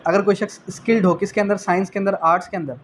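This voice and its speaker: A man's voice: sits high, fairly even intonation, quick pace, a little hiss or hum. A man with a Pakistani accent giving a revision lecture as a law teacher, repeating key phrases, posing questions and answering them himself, and dropping in English terms.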